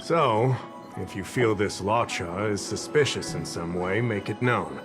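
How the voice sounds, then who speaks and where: Easygoing middle-aged officer, lowish voice, chatty and a bit scattered, game cutscene with music. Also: male voice, measured pace. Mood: explaining. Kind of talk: game voice acting.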